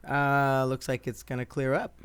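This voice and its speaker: An actor playing a courteous, gently charming doctor in a radio play, polite and reassuring. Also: male voice, medium pitch, flat delivery, normal speed, smooth, lightly acted. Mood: polite, friendly. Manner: polite, reassuring, mildly hesitant.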